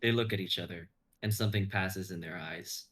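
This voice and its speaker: Young man, narrator, somewhat low pitch, scripted narration, stage directions. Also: fairly quick, a bit bright. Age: young adult.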